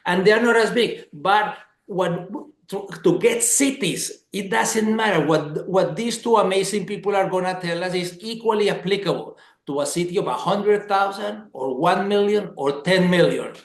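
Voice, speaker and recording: A man's voice: sits high, moderate pace, hardly any pauses, roomy sound. A man from Colombia hosting a webinar over a video call, talking with enthusiasm and stressing points by repeating words. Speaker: webinar host.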